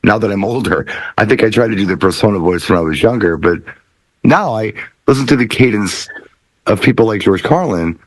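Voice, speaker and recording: Low-voiced man, dry close sound. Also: animated, no echo, poor audio. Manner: deliberate pauses, measured phrasing. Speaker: DJ and talk show panelist. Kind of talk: casual chat.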